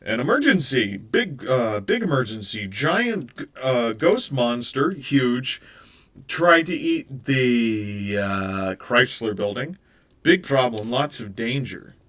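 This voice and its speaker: A flustered man fumbling for excuses, stammering with hesitations and pitched a little high, as a man narrator puts him on. The voice sits a little back from the microphone. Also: big pitch swings, normal speed, gravelly, full character voice. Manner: stammering, full of uh fillers. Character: flustered, fast-talking man dodging blame, excuses galore. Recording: voice a bit far from the mic.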